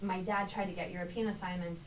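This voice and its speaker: A woman's voice: fairly low pitch, fairly even intonation, fast. Chatty woman, echoey distant recording. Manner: chatty and candid, with frequent ums and laughs. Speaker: podcast guest. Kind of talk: casual conversational interview. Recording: echoey room, distant mic, dull sound, faint noise.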